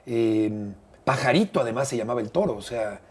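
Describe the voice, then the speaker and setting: A middle-aged man with a Mexican accent, a broadcaster telling a detailed story as an interview guest, measured and hesitant at times. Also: medium pitch, animated, breathy edge. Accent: Mexican Spanish. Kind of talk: conversational storytelling.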